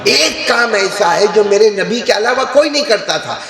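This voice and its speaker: Man doing a young woman recalling, raised pitch, sermon story, echoey hall. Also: animated, normal speed, creaky, a crowd in the background. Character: young woman, devoted daughter and widow. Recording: echoey hall with long reverb.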